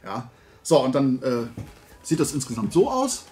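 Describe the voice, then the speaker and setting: A man's voice: mid-range voice, very animated, measured pace, darkish tone. A middle-aged man chatting casually and colloquially as the host of a hobby video, rambling along in a loose, talkative way. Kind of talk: casual chat, product tasting and recommendations. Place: room at home lined with shelves of toy figures.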